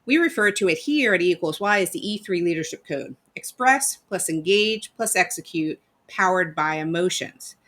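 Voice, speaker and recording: A woman's voice: lowish voice, animated, normal speed, hardly any pauses, a bit bright. A woman hosting a live online leadership interview series, giving an upbeat rehearsed introduction. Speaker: host.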